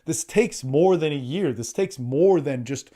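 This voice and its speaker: A man's voice: on the high side, animated, measured pace, warm tone. A man hosting a solo podcast, talking bluntly and profanely, often restarting words and acting out quotes. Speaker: podcast host and coach.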